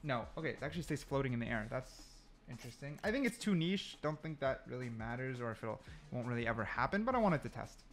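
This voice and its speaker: A man's voice: medium pitch, big pitch swings, quick pace. A man giving casual, unscripted gameplay commentary, thinking aloud and correcting himself as he goes in an informal way. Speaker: gaming video creator. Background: background music.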